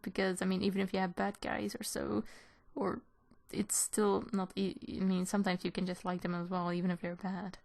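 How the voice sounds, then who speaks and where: Woman, creaky mid-pitched voice, hesitant casual chat, informal question-and-answer delivery. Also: level tone, normal speed, few pauses, darkish tone. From Belgium. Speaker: animator answering viewers' questions. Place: in a room at home.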